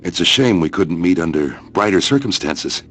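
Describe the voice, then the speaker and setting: Game police inspector: deep voice, formal and courteous, measured delivery, music behind. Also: male voice, expressive, a bit bright. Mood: polite, somber. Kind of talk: video game cut scene acting.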